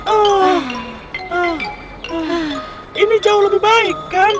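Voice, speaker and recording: A woman's voice: very high voice, steady tone, slow pace. A woman voicing a small, friendly, easygoing car in a children's cartoon dub, childlike and eager in manner. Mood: relieved. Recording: loud music behind.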